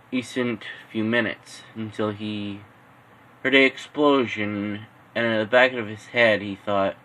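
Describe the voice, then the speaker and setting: A man narrating an improvised fan-fiction story in a halting way, repeating and rephrasing phrases as he goes. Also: on the low side, pushed and bright, a little hiss or hum, rough recording.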